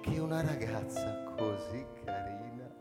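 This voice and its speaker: A man's voice: fairly low pitch, animated, unhurried, big-room sound. A man reading scripted story narration for radio in a theatrical, dramatic manner. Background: music, clearly heard.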